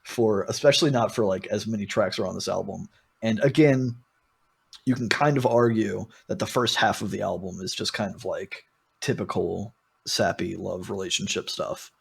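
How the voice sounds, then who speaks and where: A man's voice: on the low side, moderate pace, gravelly, breathy edge. A man co-hosting a podcast, talking casually about music and thinking aloud as he qualifies his points. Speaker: podcast co-host.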